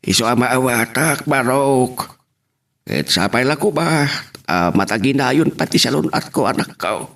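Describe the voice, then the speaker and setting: A man's voice: mid-range voice, expressive, measured pace, creaky, fairly bright tone, exaggerated voice. A man acting a caring, plaintive elderly father in an Ilocano family audio drama. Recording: slightly far from the mic.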